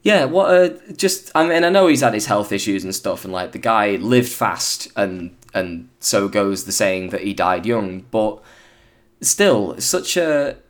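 A hoarse British man hosting a podcast, chatting casually and rambling with plenty of fillers and restarts. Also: fairly low pitch, animated, measured pace. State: hoarse, recovering from illness. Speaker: podcast host.

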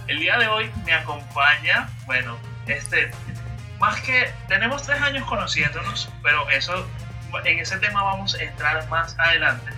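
Bright young Venezuelan man hosting. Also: on the high side, moderate pace, recorded from a distance, soft background music. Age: 20s. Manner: chatty, self-correcting, animated. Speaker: podcast host and radio announcer. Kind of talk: casual podcast chat.

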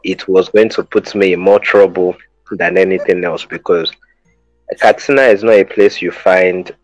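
Man from Nigeria, deep voice, relaxed and jokey, dry dull lo-fi sound. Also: normal speed, soft background music. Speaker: podcast co-host. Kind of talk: casual podcast chat.

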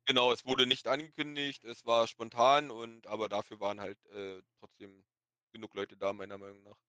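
A man with a slight lisp, chatting informally and haltingly as a podcast guest. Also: mid-range voice, flat delivery, a bit bright, clear, resonant tone, no echo. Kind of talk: casual podcast chat.

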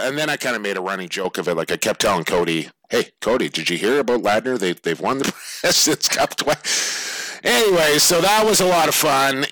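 A man with a slightly raspy, hoarse voice, hosting a casual sports talk show and rambling conversationally with lots of fillers. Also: medium pitch, bright, forceful tone, dry recording, low-quality recording. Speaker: lacrosse podcast host.

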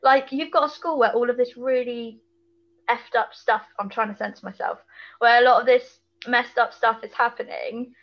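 High-voiced British woman, chatty and opinionated. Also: fairly even intonation, normal speed, muffled recording. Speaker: podcast co-host. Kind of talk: casual chat, TV episode recap.

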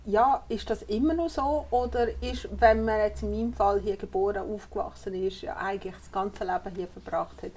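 A woman's voice: medium pitch, steady tone, moderate pace, hardly any pauses, darkish tone, low-quality recording. Chatty woman host. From Switzerland. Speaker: podcast host. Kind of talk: casual interview questions.

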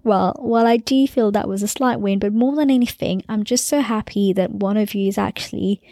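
A woman's voice: mid-range voice, fairly quick, warm tone. A young woman hosting a podcast, chatting in an upbeat, casual way with frequent restarts. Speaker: podcast host.

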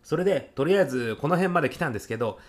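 A man's voice: fairly high pitch, animated, very fast, natural voice. Male actor as keen young salaryman courier, overzealous, earnest, casually polite, radio comedy sketch. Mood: earnest, excited. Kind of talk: comic radio drama acting.

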